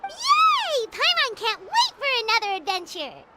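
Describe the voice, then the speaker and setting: A woman voicing a tiny, cheerful fairy companion in a video game, with a squeaky childlike voice and chirpy manner. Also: wildly swooping pitch, a little slow, very noisy, heavily acted. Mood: excited. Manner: refers to self in third person, chirpy.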